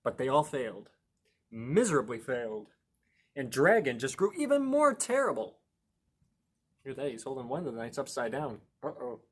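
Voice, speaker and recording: A man with a medium-pitched voice reads a picture book to children. He is playful and expressive, stressing key words. He slips into chatty, questioning asides as a storytime host. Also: moderate pace, long pauses, breathy edge. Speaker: library storytime reader and host.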